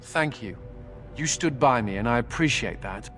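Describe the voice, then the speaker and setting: An actor playing a flippant, rebellious young mage in a fantasy game, with a wry, informal and expressive delivery. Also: male voice, medium pitch, moderate pace, soft background music. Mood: wry, grateful. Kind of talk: fantasy game dialogue acting.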